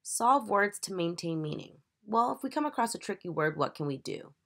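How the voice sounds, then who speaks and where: A woman teacher reading a children's picture book aloud in an expressive, emphatic, instructive storytelling manner. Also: mid-range voice, normal speed.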